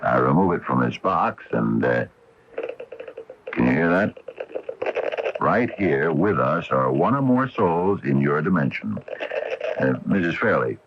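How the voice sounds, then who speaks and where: An actor in a radio drama playing an older, hesitant scientist turned storekeeper, speaking in halting explanations. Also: male voice, very deep voice, big pitch swings, background noise, full character voice. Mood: hesitant explaining. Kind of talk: 1980 radio drama acting. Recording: dull lo-fi vintage radio broadcast.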